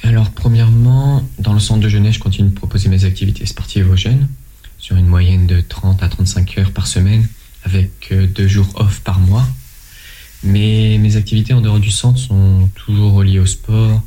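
A man in his twenties from France, answering interview questions in a measured way, often pausing and restarting. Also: fairly low pitch, fairly even intonation, mellow. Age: 20s. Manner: measured, with frequent hesitations and restarts. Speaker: interview guest, volunteer.

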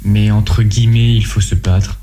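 A man in his twenties from France, answering interview questions in a measured way, often pausing and restarting. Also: on the low side, little pitch movement, warm tone, a little hiss or hum. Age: 20s. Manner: measured, with frequent hesitations and restarts. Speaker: interview guest, volunteer.

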